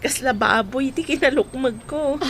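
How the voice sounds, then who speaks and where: Faint noise sits behind a female actor as a young doctor in training in an audio drama. Her voice is high. She speaks politely and cheerfully, with friendly respect. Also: moderate pace, a bit bright. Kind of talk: Philippine audio drama acting.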